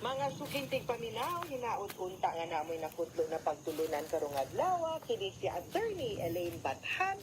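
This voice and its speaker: A woman's voice: mid-range voice, very animated, moderate pace, few pauses, background noise. A woman with a Cebuano Filipino accent gives conversational legal advice as a radio host, explaining step by step and often adding tag questions.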